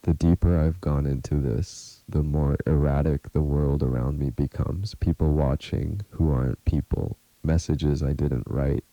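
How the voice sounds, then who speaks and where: Guy doing a haunted scientist leaving an ominous warning, super low dark voice, dry audio drama recording. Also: steady tone, no echo, muffled recording. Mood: urgent, foreboding. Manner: deliberate, ominous, earnest. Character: grave, haunted male researcher confiding a dire warning. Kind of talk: scripted audio drama monologue, recorded message.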